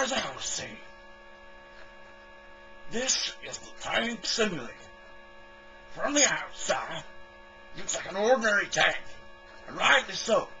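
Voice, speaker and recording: A man playing a showy, zealous army captain lecturing with theatrical, emphatic pauses in an amateur audio drama. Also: high-pitched, sharp tone, exaggerated voice, poor audio.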